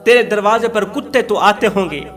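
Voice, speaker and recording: A man's voice: high-pitched, a little fast, a bit bright, faint music behind. A man voicing a desperate sick man who humbly begs for healing in a sermon story, pleading and repeating himself. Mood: humble pleading. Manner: pleading, self-abasing, repetitive.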